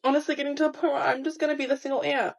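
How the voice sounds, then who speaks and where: Woman vlogger, higher pitch, creaky, wistful confessional tone. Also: level tone, racing, dry recording. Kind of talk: confessional video to camera.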